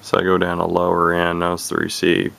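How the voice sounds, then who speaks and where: Male hobbyist, low creaky voice, casual and hesitant walk-through, loud noise behind. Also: completely flat delivery, normal speed. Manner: hesitant, thinking aloud. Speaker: radio hobbyist demonstrating a receiver. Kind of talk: casual unscripted demonstration. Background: shortwave radio receiver playing.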